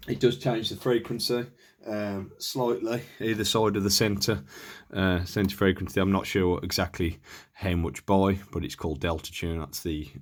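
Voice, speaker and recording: A man's voice: sits low, a little hiss or hum. A man giving a casual, unscripted hands-on demonstration, thinking aloud in a hesitant, tentative way. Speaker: presenter of a radio equipment demonstration.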